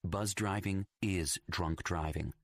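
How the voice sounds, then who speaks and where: A man's voice: deep voice, steady tone, slow, no echo. An American man reading a scripted public service announcement.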